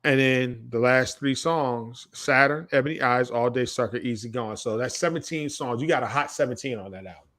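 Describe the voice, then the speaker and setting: A man's voice: medium pitch, normal speed, few pauses, fairly bright tone. A man in his late forties casually debating music as a podcast co-host, emphatic and opinionated, often repeating his points. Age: late 40s.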